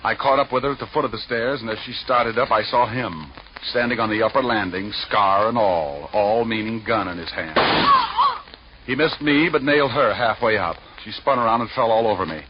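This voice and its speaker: An actor playing a cocky, hard-boiled private detective in a 1940s radio noir, glib and dryly wisecracking. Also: male voice, lowish voice, normal speed, very noisy, close to an everyday voice. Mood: terse narrating. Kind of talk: 1940s radio noir acting and first-person narration. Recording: muffled old radio recording, loud music.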